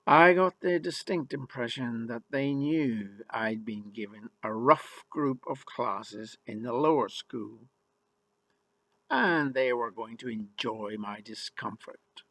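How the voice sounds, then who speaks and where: A man's voice: medium pitch, a bit bright, dry recording. An older man from Ireland telling his own life story in the first person, in a wry, rueful and emphatic way. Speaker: memoir narrator.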